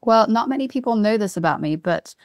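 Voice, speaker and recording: Woman guest, managing director, cheerful and businesslike, clear smooth tone, dry close recording. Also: medium pitch, animated, fairly quick, dry recording. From Asia (born in Singapore, grew up in Hong Kong and Japan). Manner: upbeat, reels off long lists of names with light laughs. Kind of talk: casual podcast chat.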